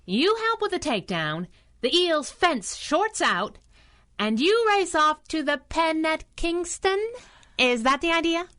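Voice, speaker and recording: A woman's voice: extremely high pitch, very animated, measured pace, pushed and bright, heavily acted. A woman playing a brash, fearless, slangy superhero sidekick in a 1930s-style radio adventure serial. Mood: wary, scheming. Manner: sassy, slangy, tough-talking.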